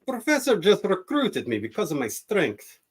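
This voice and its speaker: A man playing an earnest, self-doubting gentle giant of a superhero in a radio play, with a hesitant manner. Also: high voice, huge pitch swings, moderate pace, slightly rough, full character voice. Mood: unsure. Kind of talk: radio-play script reading.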